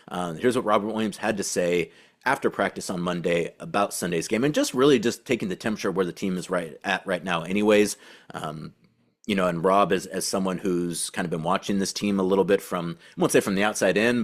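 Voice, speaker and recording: Low-voiced man talking basketball. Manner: halting, repeats and restarts phrases. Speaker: basketball podcast host and team reporter. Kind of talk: casual sports commentary.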